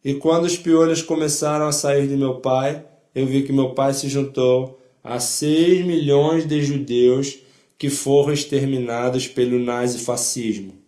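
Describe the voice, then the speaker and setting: An older man with a foreign accent in Portuguese, giving spoken testimony in a deliberate, emphatic way with short repeated phrases. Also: mid-range voice, steady tone, dark tone, reverberant. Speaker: Holocaust survivor giving testimony. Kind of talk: spoken first-person testimony to an audience.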